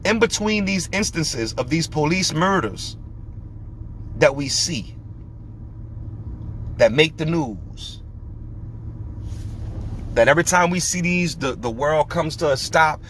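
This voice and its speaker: A man's voice: a little high, expressive, slow, pauses often, fairly bright tone, a little hiss or hum, rough recording. Preachy, emphatic male podcast host. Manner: emphatic, preachy, fires rhetorical questions.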